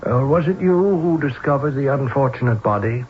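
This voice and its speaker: An actor playing an urbane, dry-witted scholar-sleuth in a vintage radio mystery, erudite, wry and courteously self-assured. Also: male voice, medium pitch, animated, normal speed, close to an everyday voice. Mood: probing, courteous. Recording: muffled, hissy old radio broadcast.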